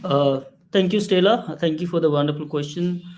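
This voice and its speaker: Earnest man panelist, somewhat high voice. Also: darkish tone. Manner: measured, earnest. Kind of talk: answering panel question.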